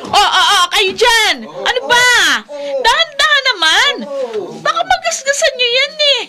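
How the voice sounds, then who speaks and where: A woman's voice: extremely high pitch, sing-song, a little slow, heavy background noise, heavily acted. A woman playing a haughty, impatient, short-tempered woman who scolds everyone in a radio drama. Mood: bossy, impatient.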